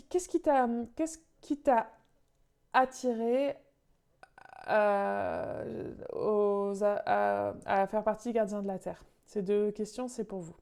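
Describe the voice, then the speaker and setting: A woman interpreting live in an online meeting over a video call, halting and searching for words as she goes. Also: medium pitch, dark tone, clear, resonant tone.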